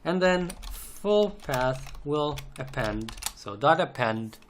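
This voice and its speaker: Male tutorial instructor, mid-pitched, methodical and repetitive, unscripted screencast with faint noise behind. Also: lively intonation, slow pace. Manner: methodical, repeats phrases as he goes. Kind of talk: unscripted step-by-step screencast narration. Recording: noisy home recording, typing and clicks. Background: keyboard typing, mouse clicks, background noise.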